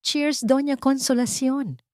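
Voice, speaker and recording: Sweetly cutting, vengeful Filipino heiress, voiced by a narrator. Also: female voice, high-pitched, dry recording, clean studio sound, pitched above the performer's own voice. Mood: sarcastic. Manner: sweet but sharp, deliberate, sarcastic. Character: cold, powerful, vengeful rich young woman.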